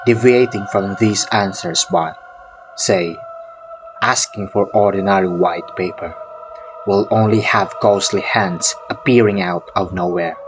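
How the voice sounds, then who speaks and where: A man giving a scripted, measured narration of an eerie horror folktale. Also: low-pitched, noisy background. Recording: music behind the voice. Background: music.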